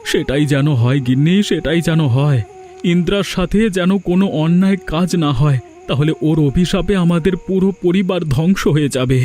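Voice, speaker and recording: Highish-voiced, troubled, kindly cartoon husband actor. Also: male voice, a little fast, mellow, lightly acted. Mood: worried, helpless. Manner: earnest, troubled. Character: kind, worried husband and protective elder brother. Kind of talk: cartoon voice acting. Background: faint music.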